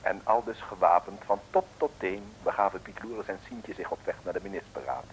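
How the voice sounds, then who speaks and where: A man's voice: sits low, brisk, husky, low-quality recording. A man narrating an old radio serial in a playful, theatrical, mock-heroic scripted style. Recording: old radio recording, faint noise.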